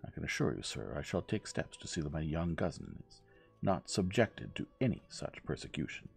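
A man's voice: low voice, big pitch swings, moderate pace, creaky, darkish tone, slightly rough, soft background music, heavily acted. A man voicing a rich, snobbish old woman in an audiobook, icy and biting in manner. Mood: biting disdain. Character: haughty, venomous, wealthy old woman, frigid snob.

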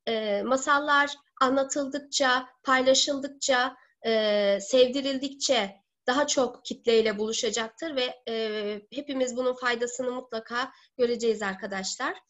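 A woman in her thirties giving an online seminar as a teacher, speaking deliberately with halting, drawn-out words. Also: on the high side, steady tone, a bit bright. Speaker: teacher presenting a webinar. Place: living room at home. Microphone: laptop or webcam mic on video call. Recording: dull lo-fi webcam call audio.

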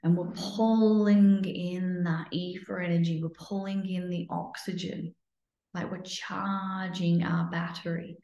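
A woman leading a guided breathing meditation in a soothing, instructional way, sometimes dropping to a breathy near-whisper. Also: lowish voice, level tone, slow, warm tone. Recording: muffled, lo-fi, roomy echo.

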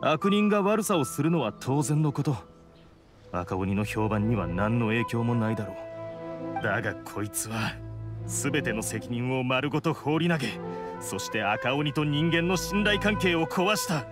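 Bitter oni outcast played by a man, swinging pitch. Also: animated, music in the background, close to an everyday voice. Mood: scornful. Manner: scornful, weighty, deliberate. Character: embittered male blue oni outcast, fallen proud warrior. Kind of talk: dramatic game voice acting.

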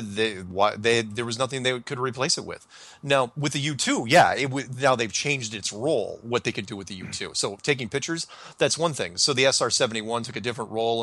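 A man's voice: medium pitch, bright, forceful tone, no room sound. A man hosting a casual talk show, rambling through ideas with frequent restarts and fillers. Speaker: podcast host. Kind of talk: casual call-in chat.

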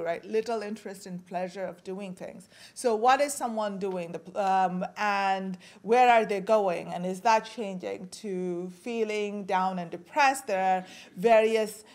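A woman in her forties from Bangladesh giving an academic talk at a podium microphone, with frequent fillers and restarts. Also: medium pitch. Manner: casual, many fillers and restarts. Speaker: guest lecturer. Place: lecture hall at a podium.

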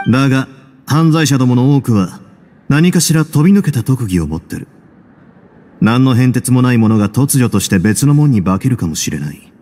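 An actor playing a composed, wry prison duke in a Japanese game, measured and courteous. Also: male voice, medium pitch, warm tone, lightly acted. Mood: explaining calmly. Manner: measured, wry, self-assured.

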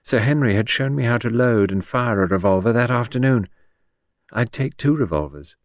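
A man's voice: mid-range voice, animated, normal speed, no room sound, clean studio sound, pitched above the performer's own voice, lightly acted. A man voicing a bewildered, apologetic, anxious widow in an audiobook, with a vague and plaintive way of talking. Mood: earnest.

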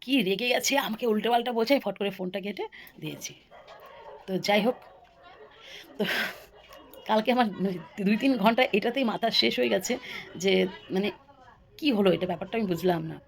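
A woman's voice: medium pitch, a little fast, vocal fry, pauses often. A woman tells a story casually to viewers as a vlogger, rambling and repeating phrases for emphasis.

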